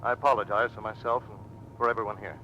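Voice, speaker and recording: Bright, forceful American naval aviator. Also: male voice, on the low side, steady tone, fast talker, muffled recording. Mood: contrite, hearty. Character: hearty, contrite naval aviator comrade. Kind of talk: 1940s-50s radio drama acting. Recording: old radio recording with background noise.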